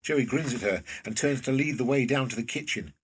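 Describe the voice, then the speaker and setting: Guy narrating an audio play, really raspy lowish voice, sounds a bit far from the mic. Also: fairly quick. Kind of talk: scripted present-tense drama narration.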